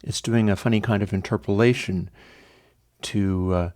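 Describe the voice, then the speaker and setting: A hoarse man casually walking through an audio plugin demo, talking in a relaxed way with many fillers and pauses. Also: on the low side, dry recording, studio quality. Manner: relaxed, hesitant, with frequent fillers. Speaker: plugin developer demonstrating audio software. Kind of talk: casual tutorial walkthrough.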